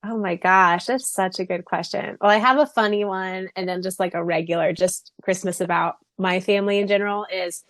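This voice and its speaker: A woman's voice: medium pitch, fairly even intonation, a little fast, few pauses, fairly bright tone, dry recording. A woman co-hosting a podcast, chatting casually in a giggly, folksy, informal way. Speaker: podcast co-host. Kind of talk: casual chat, anecdote telling.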